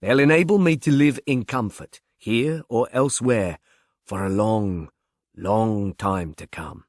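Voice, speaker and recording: A man's voice: fairly low pitch, a little slow, dry recording, studio quality, lightly acted. Pompous, smug murderer making his confession, read by a man. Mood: smug, matter-of-fact. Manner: pompous, wordy, precise. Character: insane murderer, smug, coolly confessing in writing. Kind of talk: audiobook first-person confession.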